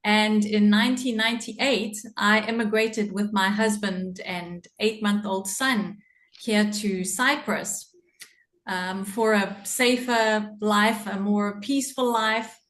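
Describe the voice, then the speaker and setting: A woman's voice: medium pitch, steady tone, takes it slowly, a bit bright, echoey room. A middle-aged woman with a South African accent, a podcast guest telling her personal story in an earnest way, laughing often. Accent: South African English.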